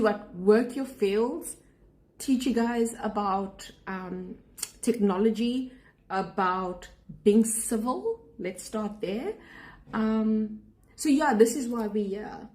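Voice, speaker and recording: A woman's voice: on the high side, warm tone. A young South African woman with a South African accent, a student abroad, venting to camera in a sarcastic, indignant vlog rant. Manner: sarcastic, indignant, talks straight to viewers.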